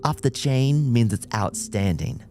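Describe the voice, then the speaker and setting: Audiobook role: male reader as excitable, teasing young female cop, raised pitch, slangy and animated. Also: measured pace, soft background music, lightly acted.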